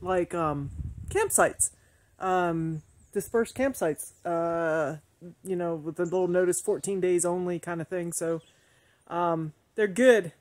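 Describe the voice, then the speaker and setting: A woman in her forties chatting casually to a phone held at arm's length in a forest, relaxed and laughing easily. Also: mid-range voice. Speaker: vlogger. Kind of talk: casual solo travel vlog. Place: outdoors in a forest.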